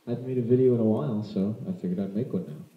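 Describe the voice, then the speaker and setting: A roomy, slightly muffled home recording of a man with a fairly low voice. He walks through a piece of gear in an informal, rambling way, correcting himself as he talks like a hobbyist vlogger. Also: rapid-fire. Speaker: vlogger presenting vintage audio gear. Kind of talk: casual unscripted product demonstration. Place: indoor room.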